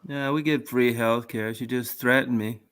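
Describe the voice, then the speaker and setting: A man from Canada hosts a call-in radio show in a bright, forceful voice. His delivery is blunt and deadpan, and he trades crude jokes with his callers. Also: medium pitch, moderate pace, smooth. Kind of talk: casual call-in radio chat.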